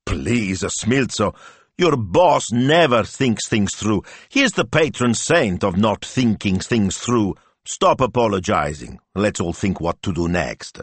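A brisk, sharp-tongued woman speaks with a scolding edge, as a male narrator puts her on. The voice is lifted well above his deep register and stays lively. The recording is close and dry. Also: slightly rough, no room sound, exaggerated voice.

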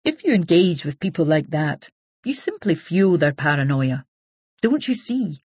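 A woman doing a calm, tactful young man. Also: sits low, a little slow, husky, dry recording, lo-fi sound, exaggerated voice.

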